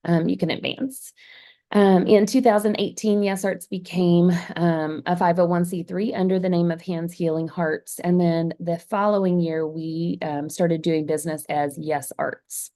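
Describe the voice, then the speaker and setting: A hoarse woman, an executive director, presenting briskly on a webinar over a video call. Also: fairly low pitch, steady tone, dark tone. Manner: brisk, organized, hurried.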